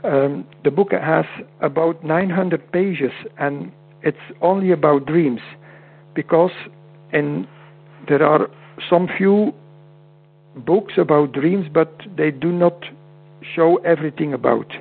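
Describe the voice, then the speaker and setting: A man with a Dutch accent whose first language is Dutch, speaking as a guest in an interview over a call, halting and often repeating words. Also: a little high, vocal fry, breathy edge. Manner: halting, repeats words, non-native phrasing. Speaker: guest homeopath. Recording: tinny phone-like line with hum.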